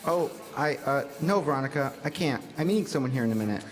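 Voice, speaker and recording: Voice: mid-range voice, lively intonation, fast, soft background music, lightly acted. Laconic teen boy sidekick, acted on stage, dry, hesitant, radio comedy style, reverberant hall. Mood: evasive, dry. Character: laconic, sly teenage boy sidekick from comics. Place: school theatre stage.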